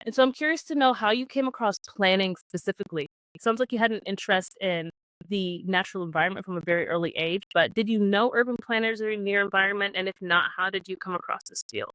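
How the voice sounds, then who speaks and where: A woman hosting a podcast interview, asking questions fluently and in a measured way. She is from the US mainland and of Puerto Rican heritage. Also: mid-range voice, a bit bright, no room sound, poor audio. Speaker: podcast host.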